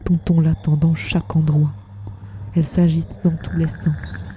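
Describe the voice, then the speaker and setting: A woman narrating literary audio fiction in measured short phrases, with a hushed, breathy voice. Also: low voice, level tone. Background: music.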